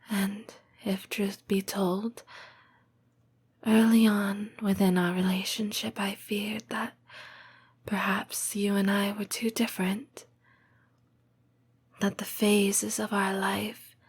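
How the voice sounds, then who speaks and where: Woman voicing a breathy, very slow lamia girlfriend. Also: mid-range voice, level tone. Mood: tender, reassuring. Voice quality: breathy, mostly whispered. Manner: slow, measured, formal phrasing with deliberate pauses. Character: tender, patient lamia girlfriend, soothing and devoted. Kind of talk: intimate comfort roleplay audio, scripted monologue.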